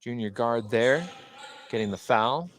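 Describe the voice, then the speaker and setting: A man's voice: mid-range voice, expressive, measured pace. A man doing live radio play-by-play of a basketball game, describing the action in short, clipped phrases. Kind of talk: live radio sports commentary. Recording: noisy gym, clear background noise. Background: gymnasium ambience, bouncing basketballs.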